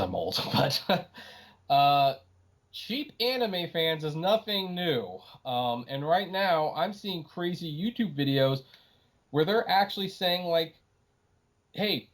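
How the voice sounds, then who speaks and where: A man's voice: a little high, a bit bright. A middle-aged man in a casual, opinionated podcast conversation, making his points emphatically with some exasperation. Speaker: podcast guest, anime writer.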